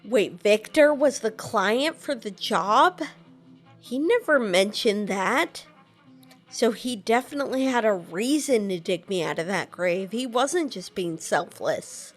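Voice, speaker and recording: A woman's voice: medium pitch, lively intonation, slow, faint music behind. A young woman voicing her game character, asking questions hesitantly with fillers. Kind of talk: casual game voice-acting.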